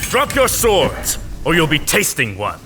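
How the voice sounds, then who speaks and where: A man's voice: on the high side, huge pitch swings, unhurried, bright, forceful tone, heavily acted. A man playing a noble, composed knight commander in a fantasy audio drama, formal and reserved. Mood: commanding. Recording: voice over background music.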